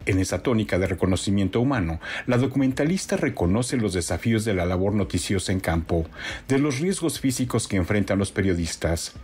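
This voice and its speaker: A man's voice: on the low side, expressive, fast, darkish tone, husky. A man with a Mexican Spanish accent narrating a scripted television-style news report as the reporter.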